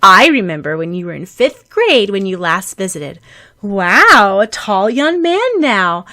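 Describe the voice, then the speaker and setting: A woman's voice: mid-range voice, very animated, a little slow, sharp tone. Woman voicing a gushing, overbearing mother. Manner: effusive, exclaiming.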